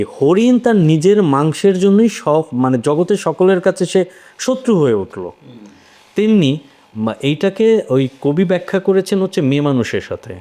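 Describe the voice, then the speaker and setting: A man's voice: a little high, mellow. A man in a conversational literary discussion, explaining things by posing questions and then answering them. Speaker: voice actor on a discussion panel.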